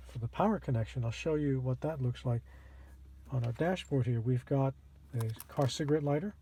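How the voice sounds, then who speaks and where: A man hosting a casual how-to demonstration, explaining things plainly and step by step with a husky voice. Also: medium pitch, warm tone. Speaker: host of a radio how-to channel. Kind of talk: casual instructional product demonstration.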